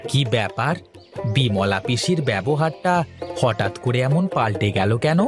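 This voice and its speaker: A voice actor playing a wary, suspicious cartoon companion with a measured, doubtful manner. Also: mid-range voice, expressive, music in the background, close to an everyday voice. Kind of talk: Bengali cartoon story dialogue.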